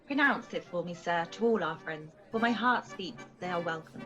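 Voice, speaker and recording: A woman's voice: fairly low pitch, moderate pace, music in the background, natural voice. A woman playing a commanding, scornful queen in an amateur Shakespearean radio play, recorded over a video call. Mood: gracious. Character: commanding, scornful queen covering for her faltering husband. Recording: slightly dull video call audio.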